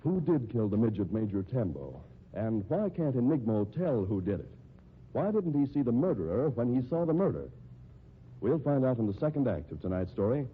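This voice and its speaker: A man's voice: mid-range voice, very animated, moderate pace. A man announcing a mystery drama in dramatic, scripted 1950s radio narration. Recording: tinny, noisy 1950s radio recording.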